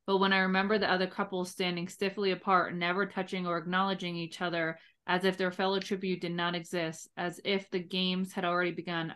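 A woman's voice: on the low side, sharp tone. A woman co-hosting a book podcast, chatty and informal as she discusses and quotes from a novel. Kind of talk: casual book discussion, some reading aloud.

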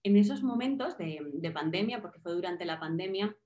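A woman's voice: fairly low pitch, animated, a little fast, warm tone. Female interviewer with a faint Cuban accent, rambling questions, roomy echo. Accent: slight Cuban. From Cuba. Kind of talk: casual interview talk.

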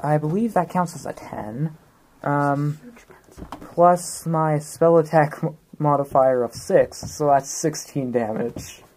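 A man talks casually as a player in a tabletop game. His pace is unhurried and slow, and there is faint noise in the recording. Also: fairly high pitch.

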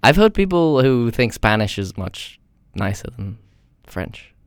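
A man's voice: medium pitch, sing-song, unhurried, smooth, dry recording, studio quality. Laid-back guy, casual swearing. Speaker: friend in conversation. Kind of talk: casual chat.

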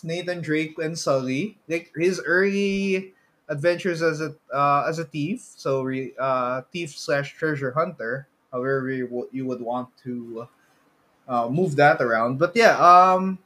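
A man co-hosting a casual podcast chat, feeling out his phrases haltingly with repeated words. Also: on the high side, fairly bright tone. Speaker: podcast co-host. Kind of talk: casual chat about movies and games.

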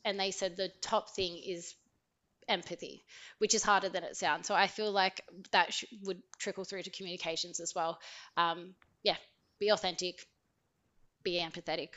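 A woman's voice: on the low side, fairly even intonation, moderate pace, a bit bright. A woman, a podcast guest, chatting casually with lots of ums and laughter. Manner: chatty, laughs often, many ums and likes.

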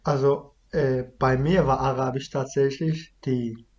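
Young man as interviewee, halting and earnest, mid-pitched, a little lo-fi. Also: steady tone, a little mellow. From Iraq. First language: Kurdish. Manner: hesitant, many restarts and fillers. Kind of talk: casual conversation.

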